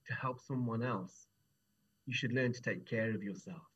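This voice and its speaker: A man playing a kindly, courteous old traveller with a gentle, measured way of talking, in an oral folktale. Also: mid-range voice, husky, lo-fi sound. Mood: gentle, grateful.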